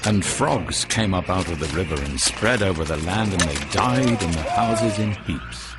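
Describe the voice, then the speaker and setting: An actor playing the solemn storyteller of an animated biblical epic, narrating scripture with weight. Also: male voice, deep voice, moderate pace, heavy background noise.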